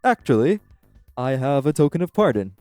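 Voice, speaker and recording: A man's voice: mid-range voice, very animated, over music, lightly acted. A man playing a polite, earnest guardian angel who speaks formally and diplomatically in an audio roleplay. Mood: diplomatic. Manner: formal, courteous, measured explaining.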